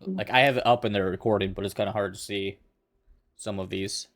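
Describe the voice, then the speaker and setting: Man, lowish pitch, informal chat, gaming group player. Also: fairly even intonation, a little fast, fairly bright tone, dry recording. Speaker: tabletop role-playing player. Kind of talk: casual table talk.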